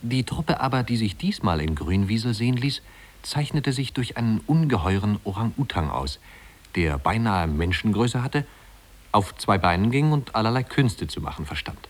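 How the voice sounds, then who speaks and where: Brief: male narrator, very low voice, wry and leisurely, looking back on small-town youth in a vintage radio play. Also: very animated, normal speed. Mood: wry, reminiscing. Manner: leisurely, wry, conversational storytelling. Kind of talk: 1950s German radio drama narration.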